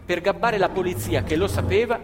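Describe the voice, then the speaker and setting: Male stage storyteller, high register with big melodic swings, emphatic repetitions, live music behind him. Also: a little fast. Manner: emphatic, repeats phrases for effect. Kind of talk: dramatic narrative theatre storytelling. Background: live violin, piano and double bass music.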